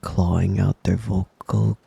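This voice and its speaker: A man voicing a possessive, doting, menacingly devoted wolf boy lover in an intimate ASMR roleplay, breathy and husky, often slipping into a whisper, with a tender, teasing manner. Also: deep voice, little pitch movement, dark tone, no echo, exaggerated voice. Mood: teasing menace. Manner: slow, tender, teasing, giggly.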